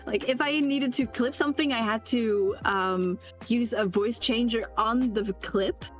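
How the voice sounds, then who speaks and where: Giggly woman guest, chatty, a bit high-pitched, faint music underneath. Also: a little slow. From the Netherlands. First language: Dutch. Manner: giggly, chatty, frequent laughter. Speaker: interview guest. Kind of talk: casual interview chat.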